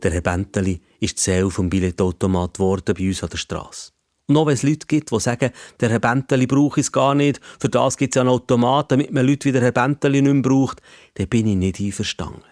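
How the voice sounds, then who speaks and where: A man's voice: mid-range voice, fairly even intonation, fast, darkish tone, no echo, clean studio sound. A man with a Swiss German accent narrating a children's story in the first person as a storyteller.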